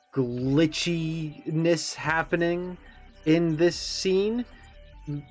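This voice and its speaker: A man's voice: on the high side, darkish tone. A man giving casual reaction commentary as an online host, talking in a musing, speculative way.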